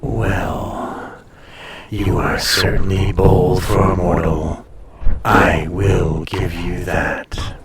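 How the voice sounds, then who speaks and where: A man playing a proud, teasing ancient dragon in an intimate roleplay audio, speaking in a condescending, often hushed and breathy way. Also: sits low, little pitch movement, very slow, noisy background.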